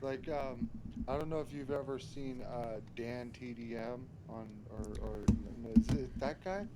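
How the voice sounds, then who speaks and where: A man's voice: mid-range voice, a little slow, very noisy. A man who is a parent chatting casually as a stream guest, hesitant with fillers and swearing when annoyed. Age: adult parent. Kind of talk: casual chat.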